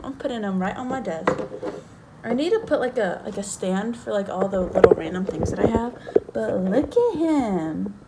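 Young woman vlogger, bubbly and chatty, slightly high voice, phone-mic sound with faint background noise. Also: a little mellow. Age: young adult. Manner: bubbly, chatty, lots of fillers, laughs often. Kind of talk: casual vlog chat. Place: small bedroom, then parked car. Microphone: phone camera at arm's length.

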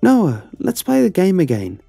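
A man voicing an excited young boy in a spoken horror story. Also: high-pitched, unhurried, creaky, breathy edge, no room sound, faint music behind, pitched above the performer's own voice, heavily acted.

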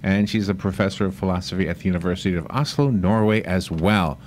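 A man's voice: deep voice, fast, darkish tone, slight background noise. A man hosting a radio show, bantering casually and interviewing in a studio. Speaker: radio host.

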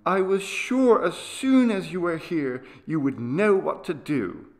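Man voicing a feverish, pleading young boy, higher-pitched. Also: animated, slow. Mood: urgent, confiding. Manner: urgent, indignant, insistent. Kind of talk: dramatised audiobook character reading.

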